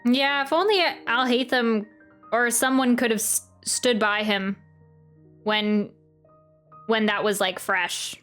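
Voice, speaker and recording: Female game streamer, fairly high voice, casual off-the-cuff reactions over faint game music. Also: fairly even intonation, slow, pauses often, fairly bright tone. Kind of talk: casual gameplay reaction and commentary.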